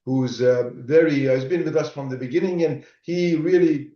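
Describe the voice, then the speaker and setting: Brief: enthusiastic rambling male co-host, fairly high voice, set back from the mic in a roomy space, dull sound. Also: normal speed, warm tone, distant mic. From Iran. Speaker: podcast co-host. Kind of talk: casual conversation.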